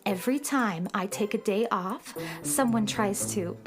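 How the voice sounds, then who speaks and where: A woman's voice: medium pitch, slow pace, music in the background, close to an everyday voice. A woman playing a jealous, haughty young sidekick in a children's radio play, snooty and sarcastic. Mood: suspicious, sarcastic. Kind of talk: children's radio theater acting.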